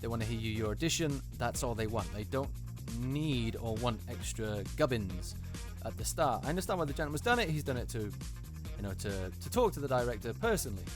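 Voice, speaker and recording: A man hosts with loose, unscripted commentary over clearly audible music. His voice sits at a middling pitch, and he speaks haltingly, circling back to repeat points for emphasis. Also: sing-song. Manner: hesitant, repeats himself for emphasis. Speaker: host, voice actor reviewing auditions.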